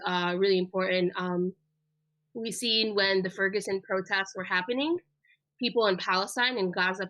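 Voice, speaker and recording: A woman's voice: medium pitch, sharp tone, dry recording, low-quality recording. A woman in her twenties, raised in the United States, speaking earnestly as an interview guest, with frequent ums and uhs. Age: 20s. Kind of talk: casual spoken interview.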